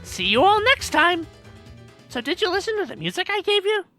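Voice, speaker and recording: A woman's voice: very high-pitched, normal speed, full character voice. A woman voicing a cheeky, opinionated male cartoon monkey in a fan audio drama, chatty and sassy. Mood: upbeat. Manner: animated, sassy. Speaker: co-host of a fan question show. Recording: dry voice over background music.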